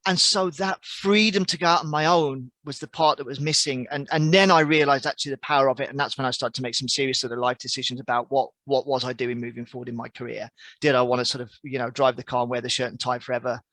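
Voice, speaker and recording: An English man in his forties speaks earnestly and reflectively, often pausing to restart a thought. His voice sits at a middle pitch and sounds close and dry on a video call mic. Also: steady tone, a bit bright, no room sound. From English Midlands. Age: 40s. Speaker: podcast guest, outdoor coach. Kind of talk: conversational interview answers.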